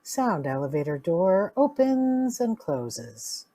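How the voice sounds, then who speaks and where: A woman's voice: mid-range voice, big pitch swings, slow, mellow. A woman reading sound effect cues aloud in a live radio drama, announcing each cue plainly. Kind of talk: reading stage directions aloud.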